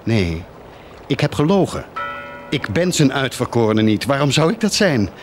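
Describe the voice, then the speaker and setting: An actor playing an anguished, guilt-ridden parish priest in a radio drama, solemn, halting and tormented. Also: male voice, mid-range voice, big pitch swings, faint music behind, close to an everyday voice. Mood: anguished, confessing.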